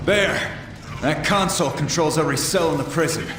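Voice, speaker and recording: Guy playing careful robot soldier, slightly high voice, big echoey hall, noisy machinery. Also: normal speed, lightly acted. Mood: wary, matter-of-fact. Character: wary, practical heroic robot soldier, helpful guide. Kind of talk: video game voice acting.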